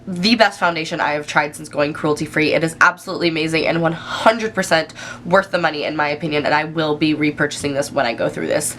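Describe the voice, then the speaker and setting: A woman's voice: deep voice, quick pace, a bit bright, a little hiss or hum. Nasal, stuffed-up young woman vlogger. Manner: chatty, enthusiastic, many fillers. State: stuffy nose from a cold. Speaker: beauty vlogger. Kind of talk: casual product review.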